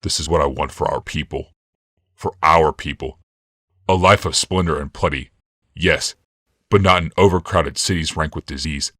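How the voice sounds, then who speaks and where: A man voicing a stern, reserved visionary ruler in an audiobook, speaking in a measured, solemn way. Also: very deep voice, a bit bright, no room sound, clean studio sound, exaggerated voice.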